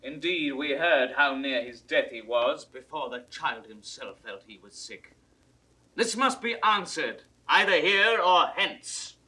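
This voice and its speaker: A bold, principled nobleman in a 1960s Shakespeare audio play. His delivery is forceful and rhetorical, with a husky edge and big swings of pitch. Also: expressive, a little slow, exaggerated voice, rough recording. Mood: bitter, indignant. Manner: rhetorical, emphatic, theatrical declamation. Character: earnest, indignant English nobleman, bold and principled. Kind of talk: 1960s classical Shakespeare audio drama acting.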